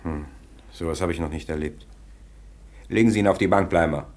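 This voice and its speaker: An actor playing a brisk, authoritative police officer on night watch in a radio play, curt and questioning. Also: male voice, sits low, darkish tone, close to an everyday voice. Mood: probing, puzzled.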